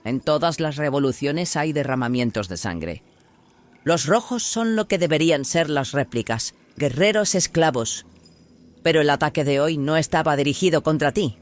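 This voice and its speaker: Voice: medium pitch, lively intonation, normal speed, natural voice. An actor playing a fervent, hard rebel leader in an audio drama, with an intense, declamatory delivery. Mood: stern. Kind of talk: dramatised audio fiction dialogue in Spanish.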